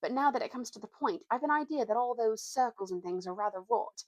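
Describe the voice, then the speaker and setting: A woman voicing an earnest, secretive schoolboy in a story reading, speaking solemnly and haltingly in old-fashioned schoolboy slang. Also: a little high, animated, darkish tone, no room sound, pitched above the performer's own voice. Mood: solemn, thoughtful.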